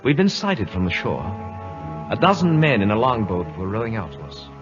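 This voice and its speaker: An earnest British naval lieutenant tells and acts his part in a vintage radio play. His voice is fairly low with lively inflection. Music plays behind the aged broadcast. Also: moderate pace, muffled recording. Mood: grave narration. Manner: earnest, deferential. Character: dutiful British naval first officer, also narrating the tale. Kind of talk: old-time radio drama narration and acting.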